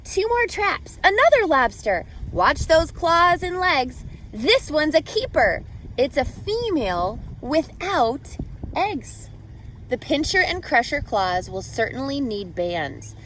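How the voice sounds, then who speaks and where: A woman reading a picture book aloud to children as a teacher, expressively, pausing to ask guiding questions and explain. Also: high-pitched, very animated, a bit bright, slight background noise.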